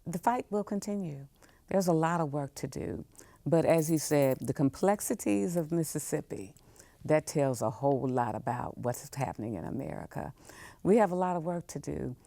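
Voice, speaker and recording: A woman's voice: low-pitched, lively intonation, normal speed, creaky, warm tone, dry recording. An older woman giving conversational interview answers as a guest, emphatic and repeating phrases for weight. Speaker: museum professional guest.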